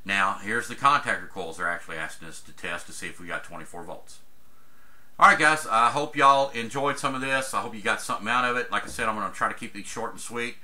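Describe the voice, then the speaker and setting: A man's voice: on the low side, fast, pushed and bright. A man, teaching an HVAC troubleshooting chart in a folksy, chatty way over a screen recording. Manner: folksy, chatty, says "guys" often. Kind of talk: casual screen-share tutorial.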